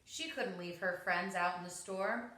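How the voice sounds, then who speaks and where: Bright-voiced woman reading aloud, very echoey room. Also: lowish voice, a little slow. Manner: expressive, dramatic emphasis. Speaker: storybook reader. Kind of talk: scripted children's story read-aloud. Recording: roomy, echoing home recording.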